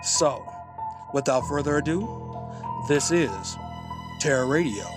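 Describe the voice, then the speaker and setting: Male podcast host: very slow, deliberate, medium-pitched introductions over loud music. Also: lively intonation. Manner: deliberate, pausing. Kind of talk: slow introduction of old radio plays.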